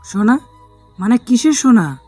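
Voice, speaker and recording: A woman's voice: fairly high pitch, big pitch swings, normal speed, warm tone, husky, soft background music. A woman voicing a puzzled, impatient gang sidekick in an audio drama, full of questions. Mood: sceptical. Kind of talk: dramatised audio story acting.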